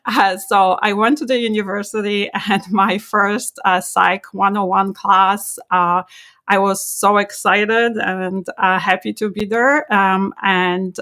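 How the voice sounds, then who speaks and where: Woman, 40s, bright clear voice, chatty guest telling her story, lots of uhs and laughs. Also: mid-range voice, slow pace. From Poland. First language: Polish. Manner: animated storyteller, laughs often, many filler uhs. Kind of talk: casual interview, life story.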